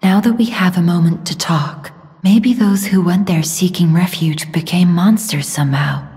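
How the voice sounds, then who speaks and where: An actress playing a gentle, sorrowful young mystical woman in a video game, speaking softly and breathily in a solemn, earnest, measured way. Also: lowish voice, natural voice. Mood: calm, concerned. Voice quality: soft, breathy, airy. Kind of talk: dramatic video game voice acting. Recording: long reverb, music behind the voice.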